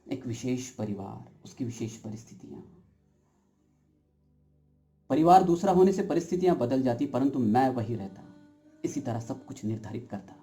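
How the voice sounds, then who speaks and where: A man plays a brooding everyman addressing a theatre audience in a very dark, mellow voice at a medium pitch. He is deliberate and philosophical, leaning on words for dramatic effect, with music behind him. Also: close to an everyday voice. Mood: emphatic, questioning. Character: brooding, uncertain everyman narrator of a play. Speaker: actor performing an audition monologue.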